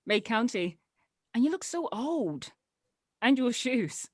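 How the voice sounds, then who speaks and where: A woman's voice: on the high side, very animated, takes it slowly, dry recording, clean studio sound, pitched above the performer's own voice, heavily acted. A woman playing a blunt, teasing teenage daughter with youthful energy in a drama table read. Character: blunt, excited teenage daughter reunited with her dad.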